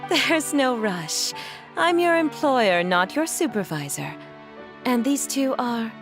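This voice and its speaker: A woman playing a gentle, genteel mother and employer in a video game, speaking politely and in measured phrases over music. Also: a little high, sing-song, full character voice. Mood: gracious, warm. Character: refined, gentle, courteous mother and wealthy employer.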